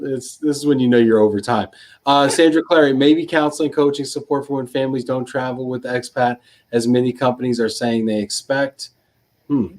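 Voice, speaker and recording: A man hosting a live online panel, reading audience questions and laughing at his own slips over a video call. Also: medium pitch. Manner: stumbling, self-deprecating, laughs at himself. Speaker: host.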